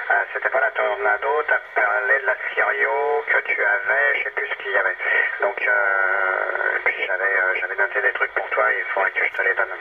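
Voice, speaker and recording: A man's voice: on the low side, gravelly. A man chatting casually as a CB radio operator over the air, rambling and hesitant. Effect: CB radio transmission. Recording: very noisy, thin narrowband CB radio. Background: heavy radio static.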